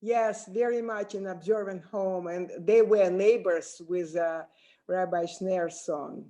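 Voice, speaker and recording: An older woman from Russia, speaking English with a Russian accent, calling in on a video call and talking haltingly as she searches for words. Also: on the low side, fairly even intonation, warm tone. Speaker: audience caller. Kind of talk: casual remarks on a video call.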